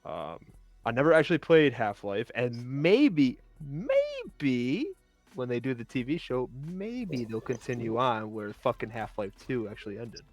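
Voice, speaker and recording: A man's voice: mid-range voice, very animated, a little slow, faint music behind. A man chatting casually as a panelist on a livestream round table, often repeating words as he starts. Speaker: panelist in a video game discussion.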